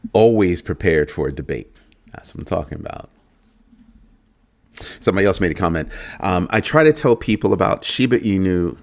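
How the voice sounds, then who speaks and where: A middle-aged man from the United States hosting a crypto livestream, talking casually to his viewers and repeating phrases with playful asides. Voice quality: deep voice. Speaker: crypto show host.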